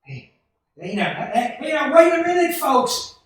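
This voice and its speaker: A man playing an earnest, excitable young small-town family man in a 1940s-style radio play, with a stammering, folksy delivery. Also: extremely high pitch, a little slow, heavily acted. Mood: urgent, reassuring. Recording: distant, very echoey stage hall.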